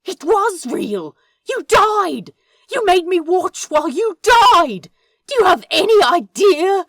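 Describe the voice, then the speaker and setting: A woman's voice: extremely high pitch, very animated, takes it slowly, a bit bright, dry recording, studio quality, pitched above the performer's own voice, exaggerated voice. A woman voicing an angry, wounded male demon in a story reading, sarcastic and sputtering through clenched teeth. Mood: anguished shouting. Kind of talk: dramatic fan fiction reading.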